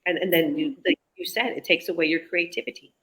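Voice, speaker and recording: A woman's voice: lowish voice, steady tone, fast, fairly bright tone, poor audio. A woman, an online business owner, chatting enthusiastically on a group video call with many restarts.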